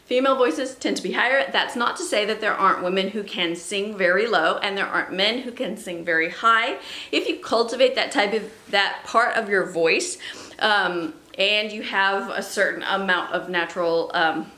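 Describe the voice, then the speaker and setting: A woman's voice: mid-range voice, moderate pace, pushed and bright, reverberant. A woman who sings soprano, teaching a music lesson to students at home and explaining patiently, with fillers and little laughs. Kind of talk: remote classroom lesson.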